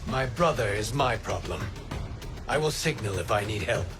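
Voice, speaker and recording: An actor playing a patient, earnest wind god with a composed, formal manner in a video game cutscene. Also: male voice, fairly low pitch, animated, normal speed. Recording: game dialogue under music.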